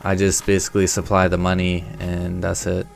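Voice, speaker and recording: The low-voiced young American guy hosting a late-morning trading stream, slangy small talk over a music bed. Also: monotone, fairly quick, gravelly. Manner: casual, slangy, profane. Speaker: live stream host and trader. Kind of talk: casual chat.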